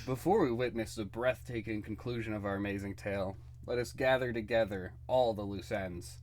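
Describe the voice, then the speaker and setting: A man's voice: lowish voice, steady tone, moderate pace, darkish tone, a little hiss or hum. A man narrating a comic book dramatically, with a theatrical, suspenseful delivery.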